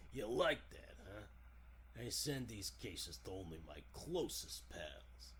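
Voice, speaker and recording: A man's voice: on the low side, sing-song, slow, sharp tone, a little hiss or hum, exaggerated voice. A man voicing a smug, shady gangster boss with slangy, menacing delivery in 1940s radio style.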